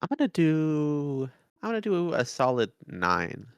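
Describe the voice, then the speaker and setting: A man's voice: a little high, very animated, unhurried, clean tone, no room sound, lo-fi sound. A man co-hosting a podcast, talking casually as he reviews and rates stories. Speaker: podcast co-host.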